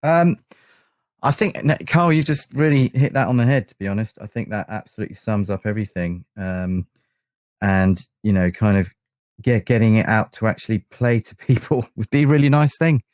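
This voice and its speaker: A man with a low voice chats as a guest in an interview. He is agreeable and slightly hesitant. The sound is dry, with little room echo. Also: normal speed, a little mellow. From England, UK. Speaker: band keyboardist, guest. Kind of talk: casual interview chat.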